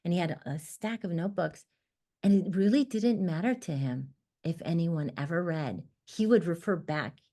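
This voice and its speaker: A woman poet whose first language was Armenian, speaking in an expressive, emphatic conversation over a video call. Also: moderate pace. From United States. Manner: expressive, emphatic, rises in pitch when animated. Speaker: poet, guest author. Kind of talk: casual conversation about writing. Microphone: computer mic on a video call.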